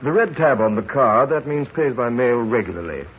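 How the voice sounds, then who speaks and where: A man's voice: mid-range voice, big pitch swings, moderate pace, a little hiss or hum, natural voice. An actor playing a businesslike rental agent who obligingly reads details from his records, in a tinny old radio drama recording.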